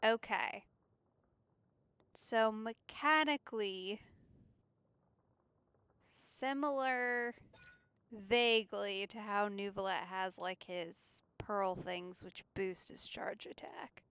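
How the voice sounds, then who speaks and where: A woman's voice: medium pitch, steady tone, slow, pauses often, fairly bright tone, smooth, no echo, dull audio. A woman giving casual let's play commentary as a game streamer.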